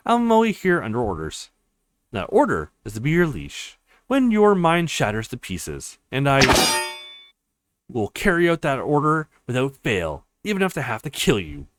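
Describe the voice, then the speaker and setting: A man's voice: medium pitch, wildly swooping pitch, moderate pace, dry recording. A man voicing a cold, formal swordswoman in a visual novel, curt and menacing. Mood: cold, threatening.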